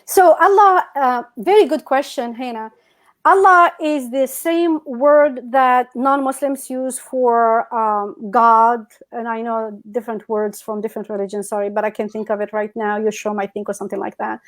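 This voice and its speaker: A woman's voice: fairly high pitch, fairly even intonation, normal speed. Talkative woman from North Africa. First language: Berber. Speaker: guest. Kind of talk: casual interview over a video call.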